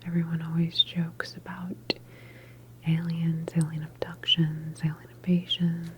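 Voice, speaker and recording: A woman whispering softly and breathily in an ASMR medical roleplay as a calm, reassuring doctor examining a patient. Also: deep voice, dull audio, noisy background. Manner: gentle, unhurried, reassuring bedside manner. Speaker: ASMR performer playing a government facility doctor.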